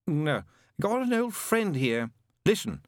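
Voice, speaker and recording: A man's voice: high-pitched, wildly swooping pitch, normal speed, a bit bright, clean tone, no room sound, voice pushed up, heavily acted. A man voicing a laid-back male demon with a lazy, noncommittal manner in a storybook reading.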